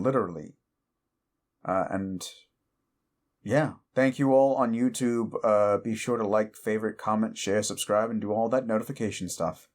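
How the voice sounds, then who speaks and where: A man hosting his audio channel chats casually in the outro. He rambles cheerfully and fills his talk with ums and uhs. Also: on the low side. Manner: rambling and upbeat, with many fillers. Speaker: host and audio creator; therapist.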